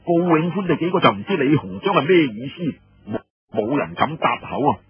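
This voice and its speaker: Very raspy Cantonese male storyteller, animated. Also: on the high side, a little fast. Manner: colloquial, dramatic. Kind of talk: animated Cantonese folk-history storytelling, scripted narration. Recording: muffled lo-fi roomy radio-like recording.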